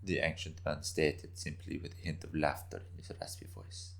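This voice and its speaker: A man's voice: extremely low pitch, lively intonation, moderate pace, vocal fry. A man narrating a scripted story in a dramatic reading style.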